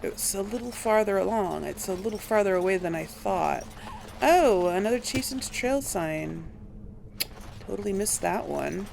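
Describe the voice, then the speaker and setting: A woman's voice: mid-range voice, expressive, moderate pace, gravelly, a little mellow, a little hiss or hum. A woman doing casual video game commentary, musing aloud and questioning herself as she plays. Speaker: let's play host.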